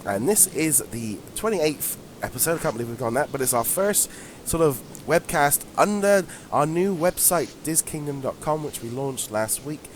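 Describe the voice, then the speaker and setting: A man co-hosting a casual webcast, congested from a head cold, chatty and quick to laugh. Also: fairly high pitch, lively intonation, slight background noise. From England. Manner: chatty, laughs often, frequent ums. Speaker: podcast co-host. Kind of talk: casual chat.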